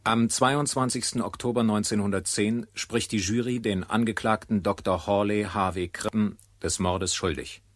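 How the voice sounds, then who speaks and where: Male narrator for historical trial drama, read by an actor, fairly low, sober, matter-of-fact delivery. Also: steady tone, moderate pace, hardly any pauses, natural voice. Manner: sober, factual, formal. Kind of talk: scripted documentary-drama narration.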